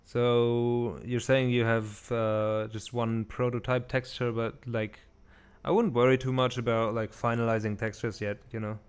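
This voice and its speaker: A man's voice: medium pitch, flat delivery, unhurried, smooth. A man streaming game development, chatting casually and thinking aloud in loose, informal phrasing. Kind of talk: casual chat while working. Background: music behind the voice.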